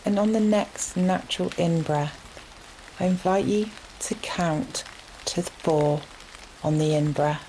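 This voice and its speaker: A woman leading a guided relaxation meditation in a gentle, soothing manner, leaving long unhurried pauses between phrases. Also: lowish voice, a little hiss or hum, poor audio. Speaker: yoga nidra meditation guide. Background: steady rain sounds.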